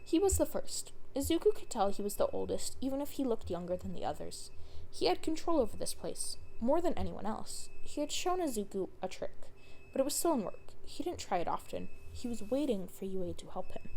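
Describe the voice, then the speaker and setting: A woman's voice: fairly high pitch, sing-song, brisk, gravelly, dark tone, a little hiss or hum, poor audio. A woman narrating a scripted story as a fan fiction reader.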